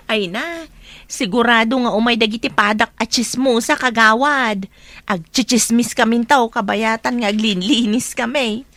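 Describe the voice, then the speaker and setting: A woman's voice: on the high side, a little slow, a bit bright, dry recording. An actress with an Ilocano Filipino accent playing a gossipy, playful village woman in a radio comedy drama.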